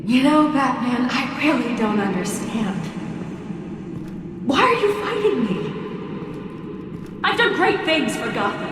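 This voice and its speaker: An actress playing a vengeful masked villain in a video game, self-righteous and menacing, with a theatrical, taunting delivery. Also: female voice, sits high, very animated, slow pace, pauses often, full character voice. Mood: pleading conviction. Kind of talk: video game cutscene acting. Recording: distant, heavily echoing hall, clear background noise.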